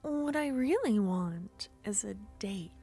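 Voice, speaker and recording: A woman performing an ASMR roleplay as a jealous, possessive witch, speaking softly and often whispering. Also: mid-range voice, animated, slow pace, faint music behind. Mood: flirty.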